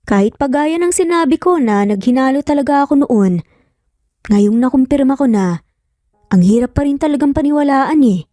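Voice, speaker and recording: A woman voicing a calm, protective young man, a loyal friend who talks gently and in measured phrases in an audio story. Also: sits high, dry recording, studio quality. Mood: disbelieving.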